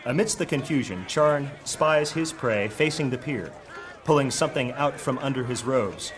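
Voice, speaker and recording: A man narrating a high fantasy audio drama with dramatic storytelling delivery. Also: normal speed, crowd noise, natural voice. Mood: dramatic, grave. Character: male narrator of dark high fantasy adventure.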